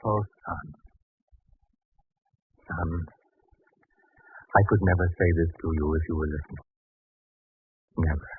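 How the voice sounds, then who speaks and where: An anguished father in an old 1940s radio drama, his low voice husky and clouded. He speaks gravely and haltingly, full of earnest doubt. The recording is thin and phone-like, like a vintage broadcast. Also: exaggerated voice. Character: anguished doubting father of a sick boy in biblical times.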